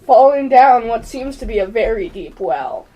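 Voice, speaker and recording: A woman's voice: on the high side, animated, normal speed, dull audio. A young female performer playing a radio announcer who describes the action as it happens, in an amateur play. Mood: narrating. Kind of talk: amateur radio play narration in present tense.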